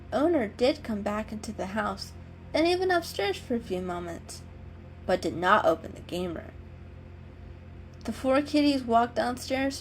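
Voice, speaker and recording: A woman's voice: medium pitch, poor audio. A woman storyteller giving a dramatic scripted reading of a children's story. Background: background music.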